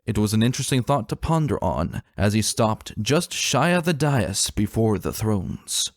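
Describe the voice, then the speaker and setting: A man narrating a fantasy story as a dramatic reading, solemn and deliberate in his storytelling. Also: fairly low pitch, big pitch swings, no room sound, clean studio sound.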